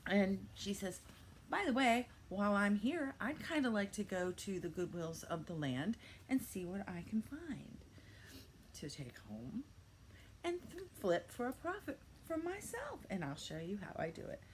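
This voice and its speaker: A woman's voice: mid-range voice, sing-song, a little slow, a little hiss or hum, poor audio. An older woman telling a playful fairy-tale style story with theatrical narration and chatty asides. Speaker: vlogger telling a reselling story.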